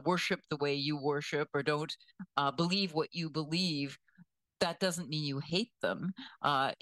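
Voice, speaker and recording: A woman giving conversational news commentary in a measured, deliberate way, weighing her words as she goes. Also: deep voice, a bit bright, no room sound.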